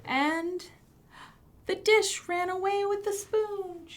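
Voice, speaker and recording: A woman's voice: extremely high pitch, steady tone, slow pace. A woman hosting a storytime for young children, reciting rhymes and presenting books with simple questions and prompts.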